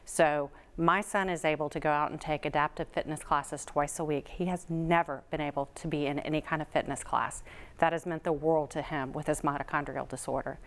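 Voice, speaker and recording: A woman's voice: low-pitched, fairly even intonation, fairly quick. A woman giving a heartfelt testimonial at a fundraiser, speaking earnestly and with emphasis. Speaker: board secretary and event chair.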